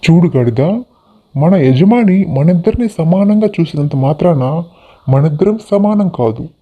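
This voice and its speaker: Casting: proud horse for an animated fable , male actor, higher-than-average voice, superior manner, lo-fi sound. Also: measured pace. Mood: haughty. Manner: haughty, lecturing. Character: proud, boastful male racehorse who looks down on others. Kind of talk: scripted animated moral story dialogue.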